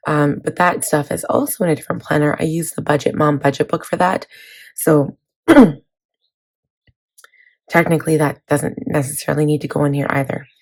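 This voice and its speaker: A woman in her thirties, hoarse from laryngitis, chatting casually about her planner with many fillers. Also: sits low, fairly even intonation, moderate pace. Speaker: planning vlogger. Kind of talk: casual chat walking through a planner.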